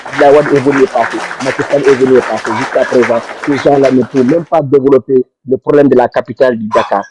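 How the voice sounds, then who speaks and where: A man's voice: medium pitch, steady tone, fast, background noise. A man from Senegal, giving an impassioned political speech with fervent, repetitive emphasis. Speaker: pan-African activist speaker. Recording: dull dry lo-fi recording.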